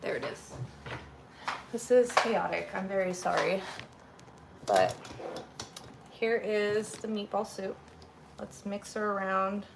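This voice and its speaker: Slow, raspy woman chatting while cooking. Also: mid-range voice, expressive. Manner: chatty, rambling, narrates each step while cooking. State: a little sick. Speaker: cooking livestream host. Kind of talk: casual cooking livestream chat. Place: home kitchen. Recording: noisy home kitchen recording.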